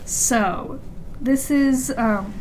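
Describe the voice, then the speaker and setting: Giggly young woman on a podcast, kinda high voice, dry jokes, slight noise behind her. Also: drawn-out words, a little mellow, rough recording. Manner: giggly, dryly ironic. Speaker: podcast co-host. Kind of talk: casual joking podcast chat.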